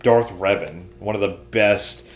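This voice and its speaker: A man's voice: lowish voice, a little slow. A man talking casually as a podcast co-host, chatting about entertainment. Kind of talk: casual chat about games and shows. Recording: roomy, echoey room.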